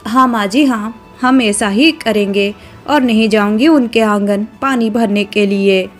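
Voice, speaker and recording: A woman's voice: medium pitch, measured pace, soft background music, natural voice. Woman playing a polite young village bride. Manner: soft, polite, deferential.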